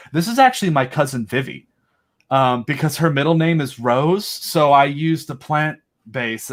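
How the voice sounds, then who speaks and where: Guest spot: eager man, quick fan chatter, runs on, mid pitch. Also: fairly bright tone. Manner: enthusiastic, rambling fan talk. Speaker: podcast host and audio drama creator. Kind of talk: casual video chat interview.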